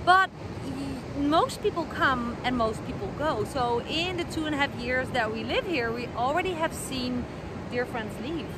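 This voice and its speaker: A woman's voice: sits high, animated, unhurried. A middle-aged woman from the Netherlands with a Dutch accent, chatting casually to the camera outdoors by the ocean, with plenty of fillers as she searches for words. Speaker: vlogger. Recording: noisy outdoor recording.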